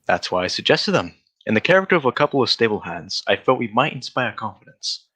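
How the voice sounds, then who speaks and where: An actor playing a brisk, self-assured gentleman detective in an old-style radio drama, with precise, formal diction. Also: medium pitch, big pitch swings, slightly rough, full character voice. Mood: assured, instructive. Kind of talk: 1940s radio drama acting.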